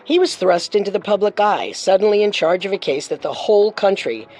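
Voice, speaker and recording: A woman hosts a true-crime show in scripted narration. Her voice is of average pitch, and music plays softly underneath her. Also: lively intonation, fairly quick. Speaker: podcast host and narrator.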